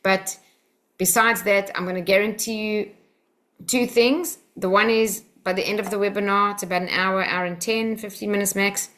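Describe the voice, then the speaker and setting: A woman's voice: medium pitch, fairly even intonation, moderate pace, fairly bright tone. A South African woman teaching a mind development webinar over a video call, in a direct, encouraging, chatty way. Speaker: Silva Method instructor.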